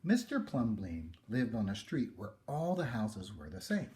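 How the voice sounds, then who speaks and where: Very animated man reading a picture book. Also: fairly low pitch, measured pace, a little hiss or hum. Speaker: story reader. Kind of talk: expressive children's picture book read-aloud.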